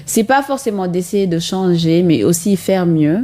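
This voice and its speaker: Mellow-voiced woman guest. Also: on the low side, expressive. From Senegal. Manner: earnest, measured, frequent hesitations. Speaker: guest, chemist and entrepreneur. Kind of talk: radio interview answers.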